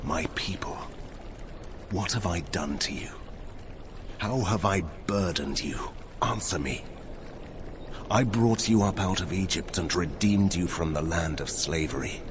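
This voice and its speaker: An actor playing the Lord God as a mighty, wrathful deity in a dramatized audio Bible, solemn and commanding. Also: male voice, deep voice, lively intonation, measured pace, long pauses, background noise. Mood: grave, accusing. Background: dramatic music.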